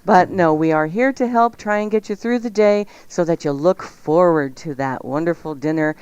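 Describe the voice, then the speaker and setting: A woman's voice: fairly low pitch, normal speed, a little mellow, clean tone, dull audio. A woman co-hosting a live radio call-in show, chatty with listeners. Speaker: radio co-host.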